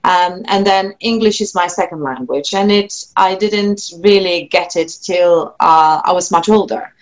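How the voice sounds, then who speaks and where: A woman with a Polish accent, a singer-songwriter speaking as an interview guest, thoughtful, with frequent restarts and soft laughs. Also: lowish voice, measured pace, low-quality recording. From Poland. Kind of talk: reflective interview talk.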